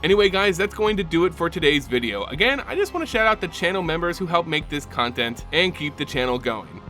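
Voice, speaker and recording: Man, fairly high voice, dramatic story narration, animated intonation, casual host talking to viewers. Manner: addresses viewers casually as "guys". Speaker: YouTube channel host. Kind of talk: dramatic lore narration and recap.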